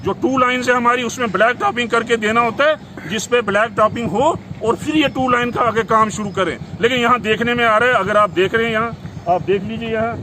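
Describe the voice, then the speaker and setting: High-pitched man, insistent political speaker outdoors. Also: a little fast. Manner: insistent, repetitive, listing points. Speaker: former legislator making a public complaint. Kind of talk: impromptu outdoor political statement to camera. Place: outdoors beside a road. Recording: clear outdoor noise with passing vehicles.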